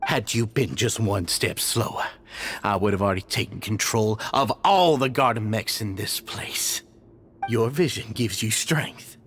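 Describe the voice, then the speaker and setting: A man's voice: lowish voice, a little slow, a bit bright, a little hiss or hum. A man playing an arrogant, cruel prison villain in a video game, menacing and theatrical, full of contempt. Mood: scornful menace. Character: arrogant, cruel male prison villain, scornful and power-hungry. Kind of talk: dramatic video game voice acting.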